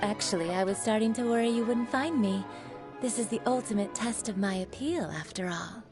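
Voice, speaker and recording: Actress as flirtatious, teasing perfume maker. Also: female voice, medium pitch, a little fast, natural voice. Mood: coy, welcoming. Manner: coy, playful, knowing. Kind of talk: video game voice acting. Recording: music behind the voice.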